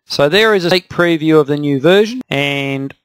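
A man giving a plain, step-by-step voice-over walkthrough of software, with the odd filler. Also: on the high side, normal speed, clear, resonant tone. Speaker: software demonstrator. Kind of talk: screen-recorded software walkthrough.

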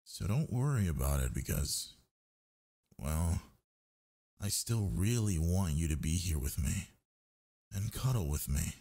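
Needy, teasing boyfriend for intimate audio roleplay, male actor: slow, mellow, breathy, murmured coaxing. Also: deep voice, animated, heavily acted. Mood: relaxed, reassuring. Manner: coaxing, murmuring, drawn-out words. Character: sleepy, needy, teasing gamer boyfriend, young man.

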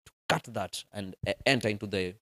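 A man's voice: fairly low pitch, brisk, a bit bright, a little hiss or hum. Kenyan man hosting a casual interview. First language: Swahili. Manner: chatty, many fillers, mixing in Swahili. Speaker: interviewer host.

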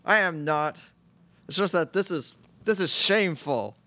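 Young man as high-pitched flustered miner husband. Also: animated, measured pace, sharp tone, full character voice. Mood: outraged, defensive. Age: young adult. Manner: exclamatory, defensive. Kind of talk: student radio theatre acting. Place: small stage, indoors.

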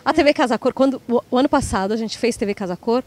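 A woman in her thirties interviewing guests on a garden patio with a handheld mic. Her voice sits high and she talks quickly, bubbly and chatty, echoing her own phrases back. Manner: lively, chatty, repeats key phrases. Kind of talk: live event interview.

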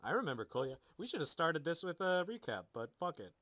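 A man's voice: a little high, big pitch swings, a little fast, bright, forceful tone. A man co-hosting a podcast with casual comic commentary, crude and mock-dramatic in his joking. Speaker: podcast co-host.

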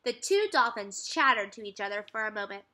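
A young adult woman reads a children's story aloud as a teacher, with expressive, clearly phrased narration. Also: mid-range voice, brisk. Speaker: teacher reading aloud to second graders. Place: furnished room at home, indoors.

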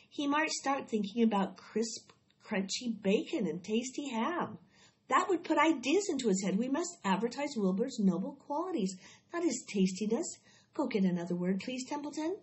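A woman's voice: a little high, big pitch swings, normal speed, darkish tone, lo-fi sound. A woman voicing a wise, patient female spider in a storybook, polite and firm.

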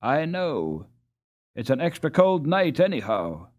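A man's voice: on the high side, sing-song, unhurried, darkish tone, pitched above the performer's own voice. A man voicing a boyish, enthusiastic younger brother in a children's storybook. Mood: chilly, insistent. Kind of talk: children's storybook character acting.

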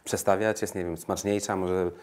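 A man's voice: low-pitched, little pitch movement, moderate pace, darkish tone. A man working as a sports journalist, putting questions to a guest in an interview. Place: indoor interview room.

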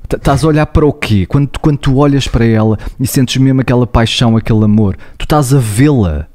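A man's voice: mid-range voice, fast talker, warm tone. A young man with a European Portuguese accent, co-hosting a podcast, explaining ideas earnestly and talking straight to listeners. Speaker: podcast co-host, psychologist. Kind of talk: casual podcast chat.

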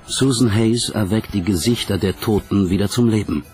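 A man's voice: fairly low pitch, moderate pace, darkish tone. A man reading scripted documentary narration as the narrator. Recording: music bed under narration. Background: background music.

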